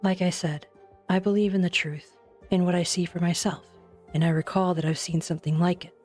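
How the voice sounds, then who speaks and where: A weary researcher played by a woman speaks gravely and deliberately in a low voice. She sounds sombre yet resolute, as in a game drama, with faint music underneath. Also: steady tone, darkish tone, lightly acted. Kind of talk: English fan dub of an anime game.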